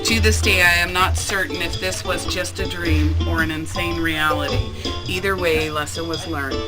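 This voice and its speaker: A woman's voice: lowish voice, steady tone, normal speed, rough recording. A woman teacher reading a personal essay aloud in a classroom, with an amused manner. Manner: amused, often breaking into laughter. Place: school classroom. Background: music.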